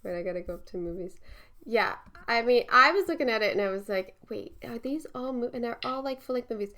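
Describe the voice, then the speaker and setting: A woman's voice: a little high, animated, brisk, bright, forceful tone. Upbeat woman podcast host. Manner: enthusiastic, laughs often. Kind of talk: casual interview.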